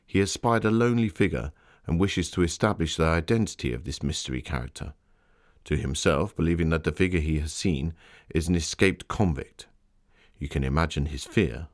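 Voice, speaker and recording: Gentleman author, male, actor in character, extremely deep register. Genteel, measured exhibit narration introducing his story. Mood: wryly amused.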